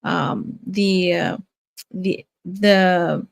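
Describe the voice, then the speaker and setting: A woman's voice: fairly low pitch, steady tone, very slow, creaky, no echo. An older woman from Fiji, a grandmother, hosting a casual live stream with many fillers and restarts. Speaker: live-stream host, nurse and business owner.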